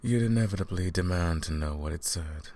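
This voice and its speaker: A man's voice: sits low, a little fast, dark tone. A man playing a falsely sympathetic, condescending royal advisor in a fantasy audio roleplay, speaking to the listener in a courtly, patronizing, theatrical way. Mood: smug, theatrical.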